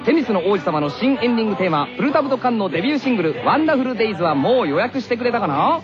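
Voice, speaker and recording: An upbeat, breezy schoolboy athlete from an anime , acted by a man, delivers a radio promo. The voice is high and bouncy in pitch. It comes over music on a lo-fi recording. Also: very animated, rapid-fire. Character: upbeat teenage middle-school tennis boy, breezy.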